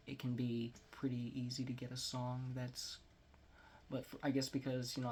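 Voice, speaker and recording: Gravelly Texan man, rambling to camera. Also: medium pitch, darkish tone, a little hiss or hum. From Texas. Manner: rambling, self-deprecating, drops to near-whisper at times. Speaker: vlogger. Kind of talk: casual talk to camera. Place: small room at home with bookshelves. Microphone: phone or webcam close to face.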